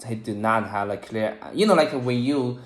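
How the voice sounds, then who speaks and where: A man with a Chinese accent, a native Chinese speaker learning English, talking casually in halting, repetitive, broken phrases. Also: mid-range voice. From China. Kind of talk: casual one-on-one conversation lesson. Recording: echoey room.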